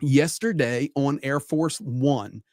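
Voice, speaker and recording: A man's voice: medium pitch, takes it slowly, darkish tone, no room sound, clean studio sound. A man giving conversational live political commentary as a panel guest, repeating phrases for emphasis.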